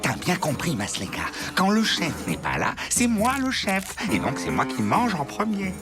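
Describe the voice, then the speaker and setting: A man playing a pompous, self-important animal underling in an animated cartoon, insisting on his rank. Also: on the high side, moderate pace. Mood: bossy. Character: bossy, self-important male predator underling. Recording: loud music under the voice.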